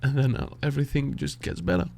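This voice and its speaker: Dutch guy talking soft n slow, gravelly, stops to find his words. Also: medium pitch, lively intonation. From Netherlands. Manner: soft, halting, searching for words, sighing. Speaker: beatboxer reacting to music. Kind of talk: reflective reaction talk.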